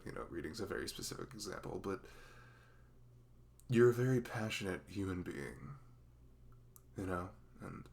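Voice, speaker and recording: A man's voice: lowish voice, creaky, full character voice. A man playing a dorky, nervous young best friend in a conversational ASMR roleplay, rambling and stammering with self-deprecating humor. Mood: earnest, admiring.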